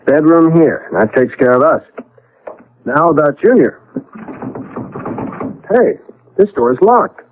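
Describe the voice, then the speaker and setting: An actor playing a good-humored, wry young American husband in a radio drama. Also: male voice, on the high side, sing-song, takes it slowly, pauses often, soft background music. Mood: puzzled surprise. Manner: joking, wry. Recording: old radio broadcast, narrow band.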